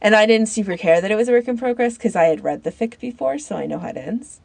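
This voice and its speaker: A woman on a podcast panel chatting casually in an informal, halting way, as if thinking aloud. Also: mid-range voice, lively intonation, creaky, darkish tone. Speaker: podcast panellist recommending fan audio fiction. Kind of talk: casual chat.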